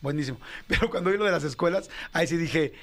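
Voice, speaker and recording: A man hosts a casual chat, needling his guest with slangy, probing questions. His voice is gravelly with lots of fry and sits a bit high for a man. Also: fast. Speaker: host.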